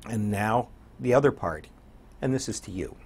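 An older man with an American accent and a hoarse voice, chatting as a radio DJ guest in an interview. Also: fairly low pitch, animated, normal speed, a little mellow. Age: 60s or older. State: hoarse, getting over an illness. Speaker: community radio program director and morning DJ, guest. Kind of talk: conversational interview. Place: small indoor TV studio.

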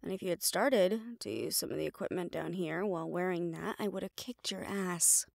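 A woman's voice: lowish voice, normal speed, gravelly, a little mellow, no echo, clean studio sound. A woman playing a tough, loyal assistant to a mafia boss in a casual, familiar audio roleplay. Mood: casual, warm. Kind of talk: intimate audio roleplay acting.

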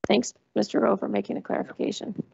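A woman's voice: mid-range voice, level tone, quick pace, creaky, a little mellow, slightly rough, no room sound. A woman chairing a council meeting, firm and procedural as she manages speakers. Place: council chamber. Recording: dry, dull council chamber recording.

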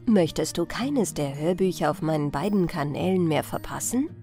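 A woman narrating a fairy-tale audiobook for bedtime with expressive, deliberate phrasing. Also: deep voice, soft background music.

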